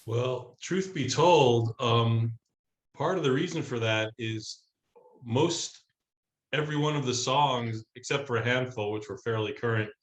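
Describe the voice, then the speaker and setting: A man's voice: mid-range voice, measured pace. A man talking casually and wryly as a podcast guest, with a roomy, echoing sound. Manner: wry, joking. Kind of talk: casual conversational interview.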